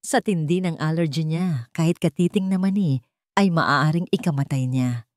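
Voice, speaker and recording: A woman's voice: sits low, normal speed, a little mellow, no room sound, clean studio sound, natural voice. A woman narrator voicing a serious, matter-of-fact family doctor in a story. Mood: grave.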